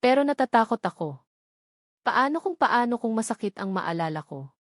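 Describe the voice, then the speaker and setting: Casting: anxious young amnesiac woman for audio romance played by a female reader, tentative, halting delivery, close dry sound. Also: on the high side, big pitch swings, no room sound. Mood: fearful.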